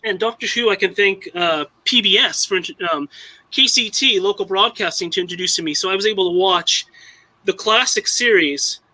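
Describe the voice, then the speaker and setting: A man's voice: high voice, moderate pace, bright, forceful tone, poor audio. A young man from Southern California chatting casually on a live stream, rambling with many restarts and fillers. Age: young adult. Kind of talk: casual chat.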